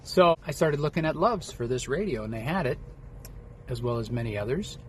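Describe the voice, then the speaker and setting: A man's voice: medium pitch, animated, fairly quick. A man talking casually as a trucker vlogger, explaining things in a folksy, rambling, step-by-step way. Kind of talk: casual unboxing vlog chat. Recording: clear background noise, vehicle ambience.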